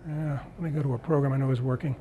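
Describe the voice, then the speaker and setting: A man in his sixties with an American accent, giving an informal, halting demonstration talk and thinking aloud as he presents in a meeting room. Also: mid-range voice, steady tone, warm tone. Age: 60s.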